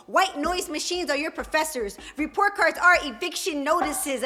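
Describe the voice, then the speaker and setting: A young woman performing spoken word poetry at a live open mic, with a rhythmic, emphatic delivery and dramatic pauses. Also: sits high, sharp tone, a little hiss or hum. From Puerto Rican and Dominican heritage.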